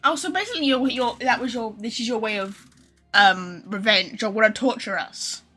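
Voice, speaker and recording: A woman's voice: a little high, normal speed, bright, forceful tone. A young adult woman giving casual, informal livestream commentary while gaming. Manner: informal, sweary. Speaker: game streamer. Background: game music.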